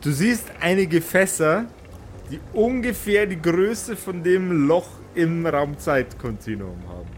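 A man, narrating a tabletop role-playing game as game master, often halting and repeating words as he improvises. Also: on the high side, expressive, a little hiss or hum.

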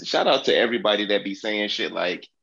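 A man's voice: lowish voice, brisk, creaky, a bit bright, lo-fi sound. Brash, congested man hosting a sports podcast. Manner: brash, profane, jokey trash talk. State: congested from a cold. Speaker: podcast host. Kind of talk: casual sports podcast chat.

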